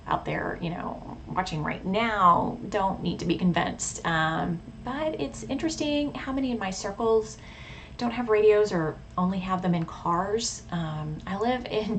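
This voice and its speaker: A thoughtful, measured woman speaking as a hobbyist vlogger. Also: on the low side. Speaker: radio hobbyist vlogger and poet. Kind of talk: casual informative vlog talk with poetry reading. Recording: clearly audible background noise.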